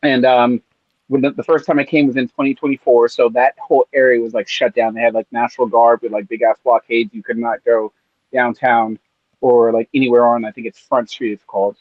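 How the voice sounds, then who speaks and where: A man's voice: mid-range voice, little pitch movement, brisk, no room sound, dull audio. A man from California, a podcast co-host, chatting in a laid-back, rambling way with lots of fillers. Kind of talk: casual chat. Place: on vacation in Maui.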